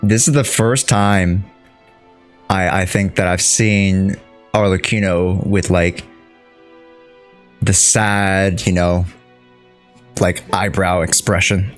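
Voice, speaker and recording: A man gives casual live commentary on a game. His voice is low and his delivery slow, informal and halting. Faint music plays behind him. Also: fairly even intonation. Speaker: streamer reacting to a game.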